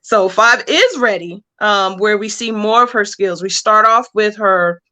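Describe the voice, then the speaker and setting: A woman's voice: mid-range voice, unhurried, sharp tone. A woman speaking casually as an interview guest, explaining plans for a story as a comic book writer.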